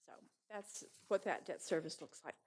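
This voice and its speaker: A woman's voice: low voice, expressive, normal speed, slightly rough. A woman finance officer explaining a district budget and bond payments to a board in a plain, explanatory way. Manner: plain, explanatory, hedging estimates. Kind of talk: budget presentation. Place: board meeting room.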